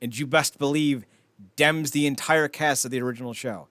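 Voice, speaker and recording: A man's voice: mid-range voice, fairly even intonation, normal speed, a bit bright, no echo. A man hosting a podcast with casual, comedic chat, riffing and swearing freely. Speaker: podcast host.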